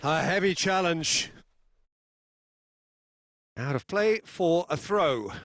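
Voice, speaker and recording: Male game commentator, dramatic football play-by-play, high pitched, very dry studio sound. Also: animated, slow pace, fairly bright tone, dry recording. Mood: engaged, dramatic. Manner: dramatic, punchy phrasing.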